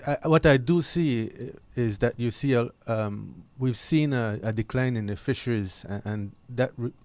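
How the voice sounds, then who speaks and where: A man giving unscripted opinions as a discussion guest, earnest and measured with halting phrasing. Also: mid-range voice, darkish tone, no echo.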